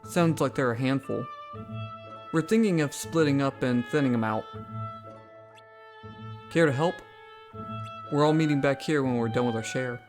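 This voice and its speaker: An easygoing fellow warrior , played by a man, checks in with a newcomer in a fan-dubbed game scene. His voice sits in a middle range and stays relaxed, over clearly audible music. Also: mid-range voice, normal speed, long pauses, natural voice. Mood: casual. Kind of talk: fan-dub game voice acting.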